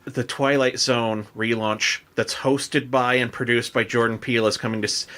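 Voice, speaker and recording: A man's voice: medium pitch, flat delivery, moderate pace, pushed and bright. An American man hosting a podcast, chatting casually in an informal, rambling way. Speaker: podcast host.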